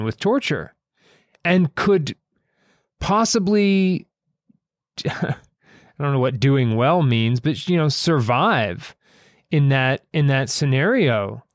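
Male podcast host and writer, unhurried and thoughtful, dry close sound, halting reflective delivery. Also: a little high, dry recording. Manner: thoughtful, halting, pausing mid-sentence. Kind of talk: reflective conversation about a story.

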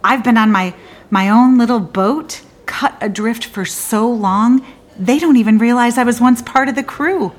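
An actress as a slow, bitter, disillusioned woman lawyer. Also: fairly high pitch. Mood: weary, resigned. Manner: halting, confiding, wry, with abrupt swings. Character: bitter, disillusioned woman lawyer confiding about her firm. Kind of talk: theatrical monologue.

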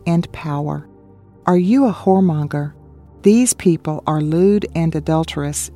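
A woman's voice: on the low side, dark tone. A woman presenting a scripted radio Bible study, reading scripture and solemnly questioning the listener. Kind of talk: scripted religious narration.

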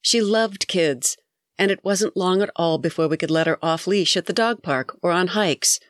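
A middle-aged woman hosts her own show, her voice a bit low and stuffy from a cold. She reads a personal story in a reflective, gently wry way. The recording is dry and close, with no room sound. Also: normal speed, no room sound. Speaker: podcast host. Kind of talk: reading a personal essay.

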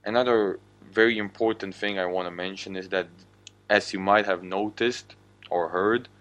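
A man's voice: low-pitched, fairly even intonation, moderate pace, fairly bright tone, dull audio. A young man from Slovakia, a native Slovak speaker with a Slovak accent, hosting his own podcast in a casual monologue and swearing freely. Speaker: podcast host.

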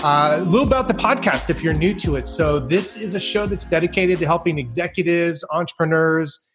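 A man hosting a podcast, talking in an enthusiastic, energetic way and laughing easily as he introduces his guest. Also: fairly high pitch, a little fast, music in the background. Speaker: podcast host. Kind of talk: upbeat podcast interview.

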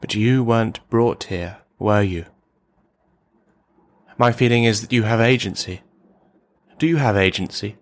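A man playing a lonely, menacing misogynist in a radio play monologue, speaking in formal, mannered, halting phrases. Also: fairly low pitch, no room sound, natural voice. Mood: uneasy, suspicious.

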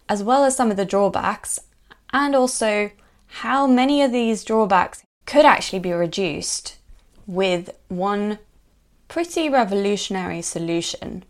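A woman hosting a conversational interview, introducing the topic and asking her guest friendly, measured questions. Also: mid-range voice. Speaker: podcast host.

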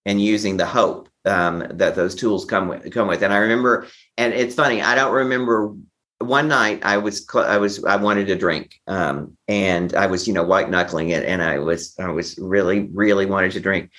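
Deep-voiced man telling his story haltingly. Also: steady tone, fairly bright tone. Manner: halting, repeats and restarts phrases. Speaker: interview guest. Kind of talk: personal recovery storytelling.

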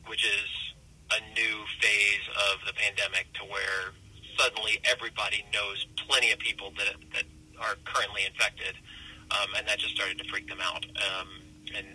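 A man giving a spontaneous, halting answer as a business owner being interviewed. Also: lowish voice, bright, forceful tone. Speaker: brewery CEO interviewee. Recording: noisy interview tape with hum.

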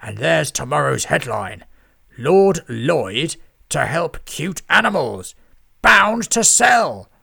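A man voicing an enormous, greedy lord who runs a newspaper, booming and barking orders at his staff in a storybook reading. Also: very animated, slow pace, gravelly, pushed and bright, breathy edge, no echo, studio quality, exaggerated voice. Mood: scheming.

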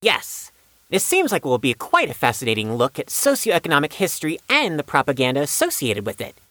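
A woman's voice: sits low, wildly swooping pitch, brisk, a bit bright, no room sound, exaggerated voice. A woman playing a bookish, haughty young know-it-all with pedantic, formal speech in an audio drama. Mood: intrigued.

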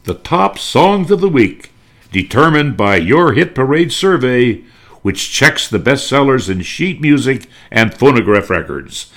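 A man's voice: medium pitch, a bit bright. A man voicing a stately, heroic old-time radio announcer with declamatory delivery. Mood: official, proud. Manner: declamatory, grand.

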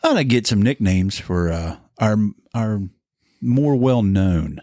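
A man's voice: on the low side, expressive, measured pace, darkish tone, no echo, clean studio sound. A man co-hosting a podcast, rambling through crude casual banter and laughing at his own jokes. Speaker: podcast co-host.

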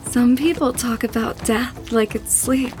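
A woman playing an eerie, philosophical occult woman in an audio horror drama, musing in a measured way. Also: a little high, fairly even intonation, darkish tone, faint music behind, close to an everyday voice. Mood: eerily musing.

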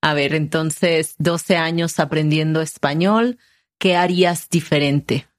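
Unhurried woman with a lowish voice. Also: fairly even intonation. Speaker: interviewer, conversation partner. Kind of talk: casual conversation.

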